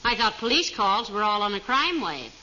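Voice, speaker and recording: An actress playing a wry, patient wife with a dry, teasing manner, in 1940s radio comedy. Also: female voice, medium pitch, sing-song, moderate pace, close to an everyday voice. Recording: muffled, very noisy old radio recording.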